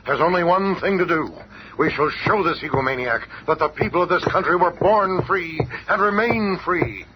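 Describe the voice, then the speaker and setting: An actor playing an earnest, commanding leader in a 1970s radio drama, speaking emphatically. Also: male voice, on the high side, moderate pace, natural voice. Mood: resolute, stern. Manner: declamatory, emphatic. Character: earnest, commanding male leader of heroic resolve. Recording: faintly noisy old radio show recording.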